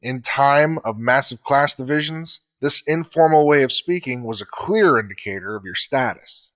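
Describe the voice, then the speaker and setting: A man co-hosting a podcast, explaining facts in a measured, scripted way. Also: mid-range voice, animated, fairly bright tone.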